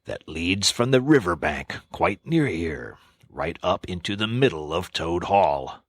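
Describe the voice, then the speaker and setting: A man voicing a stern, wise old male badger in a storybook reading, severe and measured. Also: low voice, animated, sharp tone, slightly rough, dry recording, clean studio sound, exaggerated voice. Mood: grave. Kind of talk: audiobook character voice.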